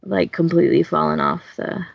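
A woman's voice: deep voice, brisk, gravelly, husky, no room sound. A woman co-hosting a casual podcast, rambling and hedging in a self-deprecating way. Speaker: podcast host. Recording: dull, dry close recording.

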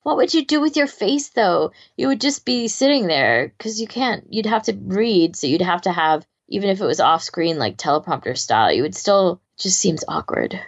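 A woman's voice: medium pitch, lively intonation, normal speed, creaky, hardly any pauses, lo-fi sound. A woman podcast co-host chatting casually in a wry, offhand way. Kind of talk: casual chat.

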